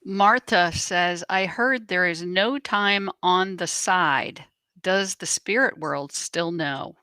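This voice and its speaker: Slow, bright-voiced woman reading aloud. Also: lowish voice, smooth, no echo. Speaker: co-host reading out viewer comments.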